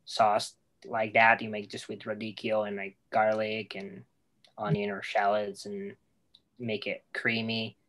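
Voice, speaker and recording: A young man with an Italian accent speaks very slowly in a relaxed video call chat. He pauses often and searches for words as a guest explaining recipes. He is heard through a webcam from a room at home. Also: on the low side. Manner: hesitant, many fillers and restarts. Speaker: guest cook.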